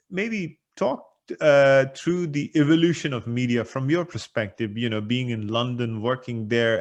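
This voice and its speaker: A man hosting a podcast, asking questions in a halting way full of restarts. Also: medium pitch. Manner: hesitant, many fillers and restarts. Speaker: podcast host. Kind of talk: interview questions.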